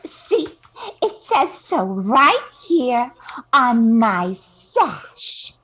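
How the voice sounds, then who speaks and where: A ditzy, preening beauty queen in a radio comedy acted by an older woman. She speaks very slowly in a high voice that swoops up and down, pleased with herself. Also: big pitch swings, fairly bright tone, slightly rough, exaggerated voice. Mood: proud. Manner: drawn-out, preening. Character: ditzy, self-satisfied young beauty queen. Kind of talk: comic old-time radio play acting.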